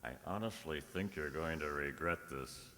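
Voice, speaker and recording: Cruel stepbrother, deep voice, sharp edge, mocking, superior, radio thriller, long hall reverb. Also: male voice, moderate pace, full character voice. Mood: mocking, smug. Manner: mocking, smugly superior drawl. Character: cruel, taunting stepbrother who relishes women's tears. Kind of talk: old-time radio thriller acting. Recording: echoey hall radio-play recording.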